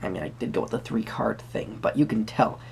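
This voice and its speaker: A performer playing a whimsical magical tarot reader, musing hesitantly in a soft-spoken roleplay with a creaky voice. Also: medium pitch, in a whisper. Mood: musing, gentle. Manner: hesitant, musing, pausing with hums.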